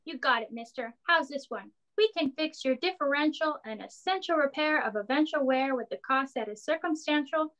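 Actress as high-voiced folksy 1940s radio saleswoman. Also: expressive, normal speed, few pauses, fairly bright tone, low-quality recording. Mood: folksy, chipper. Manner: folksy, wisecracking sales patter. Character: brassy, folksy 1940s garage and donut shop proprietor woman.

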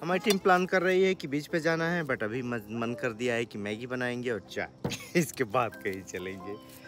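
Man vlogging at arm's length, chatty, mid-pitched, faint music behind. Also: moderate pace, a bit bright. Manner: chatty, laughs often, mixes in English. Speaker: family vlogger. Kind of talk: casual vlog narration. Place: outdoors at a campsite and a beach. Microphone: handheld camera at arm's length.

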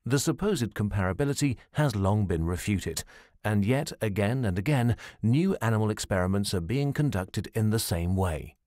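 A man reading scripted documentary narration in a measured, formal and serious way, as a studio voice-over. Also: lowish voice, lively intonation, darkish tone, no echo.

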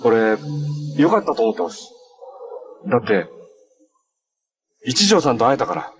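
An actor playing a cheerful, gentle young adventurer hero in a live-action TV drama, speaking in a friendly, earnest, casually polite way. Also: male voice, mid-range voice, very animated, measured pace. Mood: quiet, resolute. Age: young adult. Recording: faint background noise on TV dialogue.

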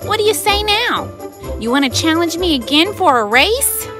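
A woman voicing a playful, naughty little male rabbit in an animated children's story, cheeky and bouncy. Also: very high-pitched, moderate pace, over music, voice pushed up.

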